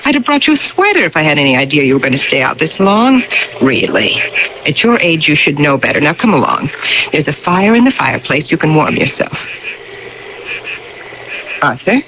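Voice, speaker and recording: A woman's voice: low voice, big pitch swings, moderate pace, pauses often. An actress playing a devoted, anxious middle-aged wife in a radio drama, earnest and urgent as she tells and lives her story. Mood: worried, insistent. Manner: earnest, urgent, repeating herself. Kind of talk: radio drama acting and first-person narration. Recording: muffled, noisy old radio broadcast.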